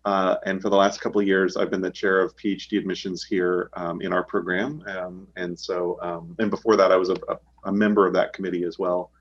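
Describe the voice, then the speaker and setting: A man's voice: low-pitched, level tone, a little fast, hardly any pauses, husky. A man, a professor, introducing himself over a video call from his office in a plain, hesitant way. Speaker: professor, panelist. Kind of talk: panel introduction. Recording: dull lo-fi with faint hum.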